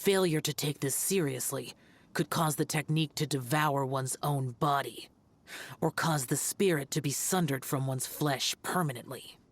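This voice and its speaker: A woman playing a solemn, stern ritual master of arcane arts in a video game, speaking in a formal, grave, measured way. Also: sits low, a little hiss or hum. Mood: stern, instructive. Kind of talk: video game character acting.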